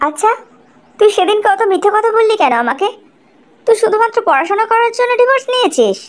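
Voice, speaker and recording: A woman's voice: extremely high pitch, expressive, quick pace. A woman voicing a scornful, threatening young college student in cartoon dialogue. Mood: accusing.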